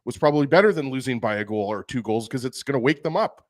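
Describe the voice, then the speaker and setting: A man's voice: medium pitch, animated, quick pace. A man co-hosting a hockey talk show, talking casually and repeating words as he goes. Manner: conversational, repeats himself.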